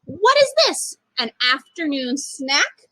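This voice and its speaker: A woman voicing a great gruff lion in a storybook, scornful and amused. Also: sits high, very animated, slow, sharp tone, heavily acted, poor audio. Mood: scornful amusement. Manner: roaring, laughing.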